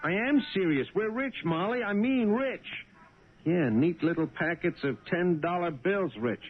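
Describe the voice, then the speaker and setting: Excitable working-class cabbie, colloquial, very animated in pitch, on thin old radio sound. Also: male voice, unhurried. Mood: elated. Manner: exclamatory, folksy, colloquial. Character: excitable working-class male taxi driver, honest and eager. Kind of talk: 1970s radio drama acting. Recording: tinny, noisy old radio broadcast.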